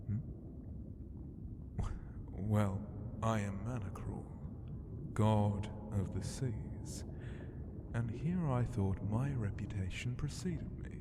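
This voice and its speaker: A man voicing a huge, proud and flirty ocean god who murmurs in an unhurried, teasing way for an ASMR roleplay. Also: fairly low pitch, very noisy. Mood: soothing, teasing.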